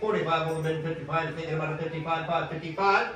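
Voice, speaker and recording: A man's voice: fairly high pitch, steady tone, quick pace, a bit bright. A man working as an auctioneer, calling live bids in a rhythmic, repetitive chant of numbers. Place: indoor metal-walled storage facility corridor. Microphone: camera several metres away. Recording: echoey storage hallway, distant microphone.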